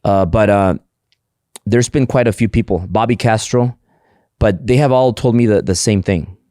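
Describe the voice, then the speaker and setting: A man's voice: lowish voice, moderate pace, dark tone, no room sound, clean studio sound. A middle-aged man whose first language is Spanish, talking eagerly in a casual interview, often repeating words and restarting sentences. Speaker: podcast guest, entrepreneur.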